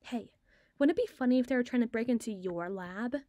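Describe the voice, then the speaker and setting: A woman plays a quick-witted female secret agent with a fairly high voice. She is sardonic and bossy, spinning wordy, teasing lines in an ASMR audio drama. The sound is very dry, with no room around it. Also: mellow, no room sound, close to an everyday voice. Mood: hushed, conspiratorial. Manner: wry, wordy, teasing, flustered when challenged.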